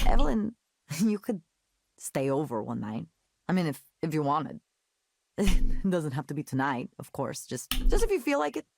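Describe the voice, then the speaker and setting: A woman's voice: low-pitched, big pitch swings, moderate pace, creaky, background noise, heavily acted. An actress playing a shy, nervous woman in a video game, speaking with stammered hesitations. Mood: nervous, hopeful.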